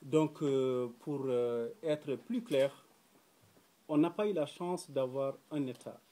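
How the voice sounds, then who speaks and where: Young guy from mauritania at a round table, warm low-key voice, lots of uh pauses, small room, camera a bit away. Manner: measured, hesitant with frequent fillers, earnest. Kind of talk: public talk at a round table. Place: small indoor room with pale walls.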